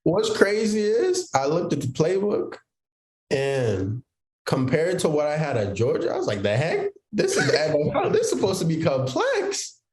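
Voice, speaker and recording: A man's voice: on the high side, huge pitch swings, normal speed, a little mellow, reverberant, low-quality recording. A young man born in Haiti, a football player guest on a podcast, telling his story in an easygoing way. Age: young adult. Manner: easygoing, storytelling with quoted inner thoughts. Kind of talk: casual interview chat.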